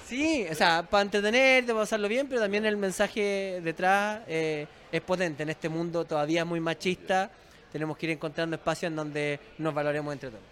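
A man's voice: high-pitched, brisk, a bit bright, slight background noise. A man with a Chilean accent hosting an interview, upbeat and playful, laughing often. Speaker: interviewer, host. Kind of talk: casual interview chat.

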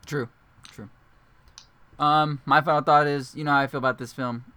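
A man hosting a casual movie podcast, loud and jokey, laughing often. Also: fairly high pitch, fairly even intonation, normal speed, clear, resonant tone, dry recording. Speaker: podcast host.

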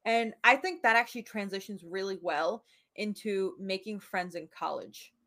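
A woman's voice: mid-range voice, moderate pace, bright, forceful tone. A young woman hosts a casual podcast conversation. She is chatty and talks in a medium voice. She tells her stories by acting out bits of dialogue. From United States. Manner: chatty storyteller, acts out quoted dialogue. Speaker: podcast host. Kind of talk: casual chat.

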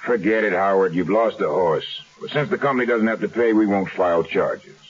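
Low-voiced, wry investigator. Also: male voice, brisk, slightly rough. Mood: cool, wry. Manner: wry, laconic. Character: cool, wry male insurance investigator, adult. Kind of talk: 1970s radio drama acting. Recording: dull 1970s radio recording.

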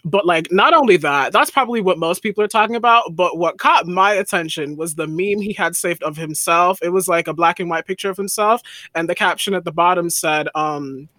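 A man's voice: high-pitched, brisk, bright, forceful tone, no room sound. A man co-hosting a casual podcast, chatting in a rambling way with lots of "um" and "like". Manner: chatty, rambling with many fillers. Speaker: podcast co-host.

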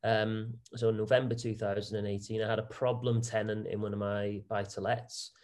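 A man's voice: on the low side, flat delivery, moderate pace, darkish tone. A man, answering interview questions about his property business in a chatty way, full of fillers and restarts. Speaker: property investor guest.

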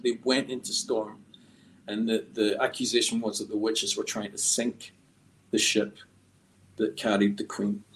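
A man talking as a guest artist on a podcast, telling stories in a halting, reflective, discursive way. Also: lowish voice, flat delivery, a bit bright, slight background noise, poor audio. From Scotland. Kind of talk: conversational storytelling in a podcast interview.

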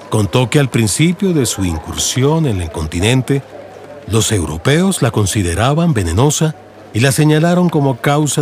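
A man's voice: mid-range voice, darkish tone. A man with a Colombian accent narrating a scripted audiobook in radio-drama style. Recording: faint background noise and ambience.

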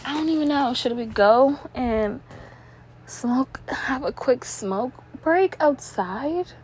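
Young woman, somewhat high voice, stuffy nose, rambling casual monologue with slips and swearing, faint hiss behind. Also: lively intonation, takes it slowly, darkish tone. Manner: rambling, trips over words, swears casually. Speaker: podcast host.

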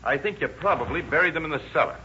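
An actor playing a tough, sardonic police detective in 1930s radio crime drama, blunt, slangy and commanding. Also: male voice, on the high side, animated, very fast, sharp tone. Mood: firm, pressing. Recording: muffled, noisy old radio recording.

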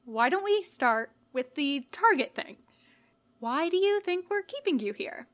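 A woman voicing a gentle, casual male skeleton monster in a story, earnest and puzzled. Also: high voice, sing-song, measured pace, pitched above the performer's own voice, full character voice. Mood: baffled.

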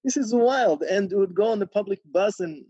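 A man's voice: sits high, animated, fairly quick, warm tone, breathy edge, dry recording. Thirtysomething guy from burundi telling his story, lots of ums, dry muffled sound. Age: 30s. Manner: reflective, many ums and restarts, laughs often. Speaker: podcast guest. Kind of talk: casual interview conversation.

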